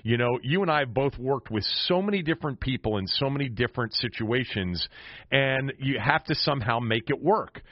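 Male sports radio host, conversational and lively in pitch, with a dry, slightly muffled sound. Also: brisk, no echo.

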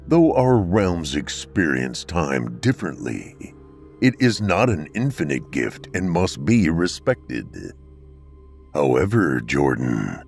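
A man voicing Death, a deep, echoey male reaper who speaks slowly and calmly with empathy. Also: gravelly, heavily acted. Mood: measured. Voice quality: deep, echoey, slow and measured.

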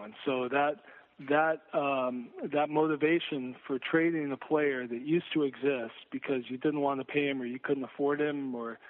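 A man giving conversational sports analysis as a guest, searching for words and repeating phrases for emphasis. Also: medium pitch. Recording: phone-like narrow-band line.

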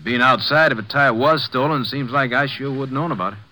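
Gas station hand: quick, bright American voice, offhand and folksy, old radio drama. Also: male voice, mid-range voice, poor audio. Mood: dismissive. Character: offhand, unhelpful male filling station attendant. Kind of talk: 1950s radio western acting.

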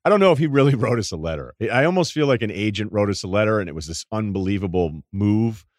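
A man with a deep voice hosting a podcast, telling rambling stories in casual chat and laughing at his own anecdotes. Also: animated, fast, no room sound, clean studio sound. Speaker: podcast host.

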